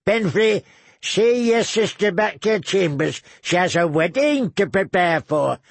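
A man voicing a sly, crude, cackling old male lord with a gravelly voice who tags phrases with "eh?". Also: high voice, lively intonation, measured pace, dry recording, voice pushed up, heavily acted. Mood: bossy. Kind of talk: audiobook character voice.

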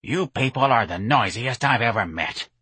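A man's voice: mid-range voice, animated, normal speed, bright, forceful tone, husky, no room sound. A man voicing a snappish, fierce, cranky old woman. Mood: irritable.

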